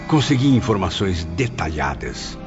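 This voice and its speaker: A man's voice: low-pitched, big pitch swings, normal speed. An actor voicing an obsessive, vengeful man confessing in a dramatised audiobook, theatrical and imperious. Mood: brooding. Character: obsessive, vengeful man confessing his past forbidden passion. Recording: dull lo-fi audio under loud music. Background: loud music.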